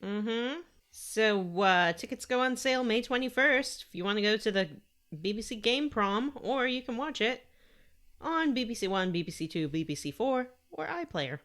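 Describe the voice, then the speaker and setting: A woman's voice: a little high, animated, normal speed, a bit bright. A woman co-hosting a casual podcast, chatting and reading out gaming news. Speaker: podcast co-host.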